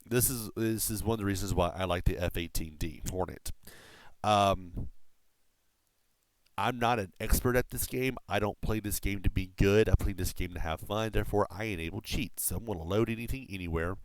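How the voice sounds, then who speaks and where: Deep-voiced man explaining a flight game. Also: moderate pace, dry recording. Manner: rambling, with frequent fillers and casual swearing. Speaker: streamer. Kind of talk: casual gameplay explanation on a live stream. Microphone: desk microphone through a mixer.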